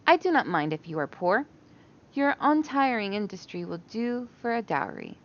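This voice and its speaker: A woman's voice: mid-range voice, huge pitch swings, moderate pace, highs cut off. A woman voicing an imperious middle-aged queen in a storybook reading. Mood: commanding. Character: imperious, approving fairy-tale queen, middle-aged mother.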